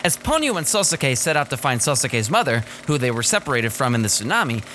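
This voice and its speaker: Man, animated scripted narration, crisp bright tone, some background noise. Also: mid-range voice, a little fast. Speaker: podcast plot summary reader.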